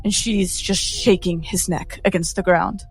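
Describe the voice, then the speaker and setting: A woman playing a tabletop game, narrating her character in the third person with intense, halting, dramatic delivery. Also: on the low side, fairly even intonation, slightly rough, faint music behind. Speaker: tabletop player.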